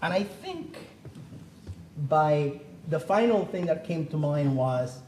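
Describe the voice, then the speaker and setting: A man's voice: on the high side, lively intonation, slow pace, warm tone, a little hiss or hum, low-quality recording. Earnest Cuban-born man giving a seminar talk. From Cuba. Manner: earnest, emphatic, rhetorical questions. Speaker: panelist speaking on the economy. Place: theater.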